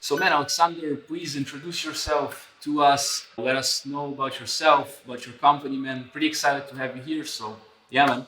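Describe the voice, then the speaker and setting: A man's voice: fairly high pitch, steady tone, bright, forceful tone, roomy sound, far from the mic, a little hiss or hum, rough recording. A man whose first language is Russian, hosting an interview and talking eagerly, calling his guest "man" again and again. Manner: fast, enthusiastic, fills speech with "man". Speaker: host. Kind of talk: casual interview.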